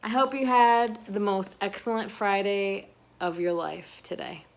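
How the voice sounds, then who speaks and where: A woman reading a children's picture book aloud expressively, pausing between phrases with dramatic emphasis. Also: mid-range voice, steady tone, vocal fry. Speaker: children's read-aloud host.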